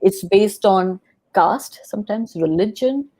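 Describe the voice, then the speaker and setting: A woman hosting an interview show, opening with a scripted introduction and then asking her guest measured, earnest questions. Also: mid-range voice, animated, dark tone, slightly rough. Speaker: podcast host.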